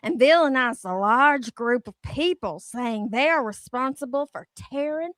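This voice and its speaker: A woman co-hosting casually, chatting and reading online comments aloud in an animated, exaggerated way. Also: high voice, measured pace, no room sound. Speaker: co-host.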